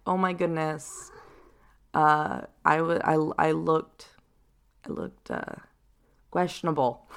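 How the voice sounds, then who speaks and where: A young adult woman with a deep voice, hosting a personal reflective podcast in a casual, rambling way with lots of fillers. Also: a bit bright. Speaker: podcast host.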